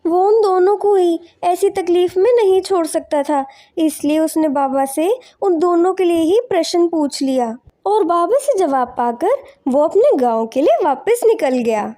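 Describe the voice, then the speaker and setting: Very high-pitched woman storyteller. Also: lively intonation, measured pace, few pauses, dark tone. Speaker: narrator. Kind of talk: scripted storybook narration.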